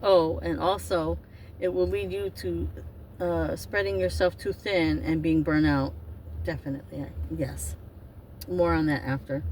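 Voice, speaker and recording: Woman, 50s, card reader. Lowish voice, chatty and rambling, a little far from the mic with faint hiss. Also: steady tone, a little slow, darkish tone. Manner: rambling, self-interrupting, chatty. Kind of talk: casual spiritual card reading. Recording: distant mic with faint noise.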